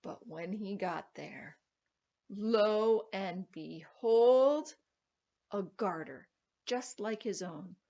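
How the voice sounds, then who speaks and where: Woman reading a storybook, measured pace. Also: medium pitch, dull audio. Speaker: storybook reader. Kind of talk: expressive children's story read-aloud.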